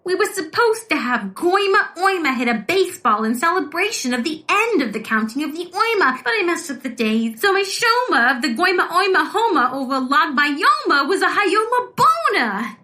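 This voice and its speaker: A woman's voice: extremely high pitch, big pitch swings, pushed and bright, full character voice. A woman playing an eager, awkward aspiring policewoman, bantering in a comic audio drama. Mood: earnest, exasperated. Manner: dry, fast comic banter, self-important.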